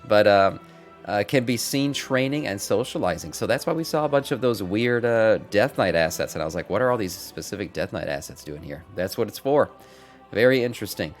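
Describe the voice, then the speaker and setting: Man, middle-aged, low pitch, casual livestream commentary, informal and mildly sweary, music playing behind. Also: expressive, brisk. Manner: informal, mildly sweary, chatty asides to viewers. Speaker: streamer hosting a gaming news segment. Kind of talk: casual livestream commentary with some reading aloud. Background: background music.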